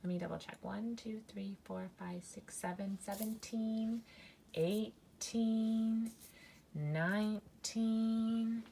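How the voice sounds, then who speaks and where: A woman in her thirties teaching a children's math lesson, counting aloud slowly and breaking into mock-alarmed squeals. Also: a little high, fairly even intonation, creaky, warm tone, slight background noise. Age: 30s. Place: indoors at a table, hands-only video.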